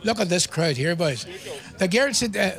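A man's voice: sits high, animated, normal speed, a bit bright. A Northern Irish man hosting jokey, teasing interviews with people in a crowd at an outdoor event. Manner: jokey, bantering. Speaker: roving radio host doing crowd interviews. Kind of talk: teasing casual vox-pop interviews.

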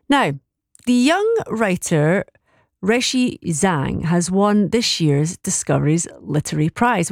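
A woman's voice: on the low side, a little mellow, no echo, clean studio sound. A woman presenting a radio program and interviewing guests, asking questions with hesitant restarts.

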